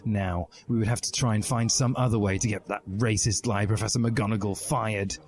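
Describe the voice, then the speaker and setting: A man's voice: on the low side, fairly even intonation, normal speed, darkish tone, a little hiss or hum. A man giving a mock-serious, emphatic reading of a comic fan fiction story. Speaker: podcast reader. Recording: music behind the voice. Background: music.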